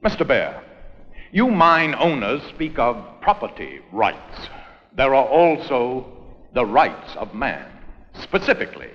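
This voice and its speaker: An actor playing a forceful, blustery American president and reformer in an educational film re-enactment, speaking in an emphatic, oratorical way. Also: male voice, mid-range voice, sing-song, slow pace. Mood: grave, resolute. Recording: muffled old 16mm film, reverberant room.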